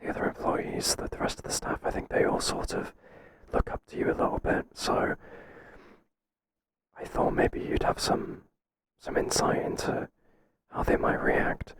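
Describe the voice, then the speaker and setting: A man playing a hesitant, flattering boss in a whispered ASMR roleplay, speaking softly and breathily in a halting, confiding way. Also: very noisy, close to an everyday voice. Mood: flattering, earnest. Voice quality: soft, breathy, mostly whispered.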